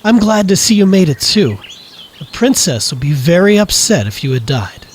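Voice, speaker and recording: A man's voice: high voice, very animated, moderate pace, slight background noise. An actor playing a modest, bewildered and courteous young king in an audio drama.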